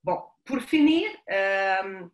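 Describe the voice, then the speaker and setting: A woman from Canada whose first language is Ukrainian speaks as an interview guest. She tells her story haltingly, restarting phrases and searching for words. Her middle-pitched voice carries a roomy echo. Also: animated, lo-fi sound.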